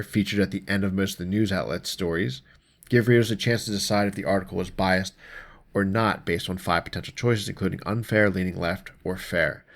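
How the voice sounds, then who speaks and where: A man's voice: deep voice, vocal fry. A man hosting an explainer show, giving casual news commentary and reading articles aloud in an informal, conversational way. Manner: informal, conversational, mildly profane.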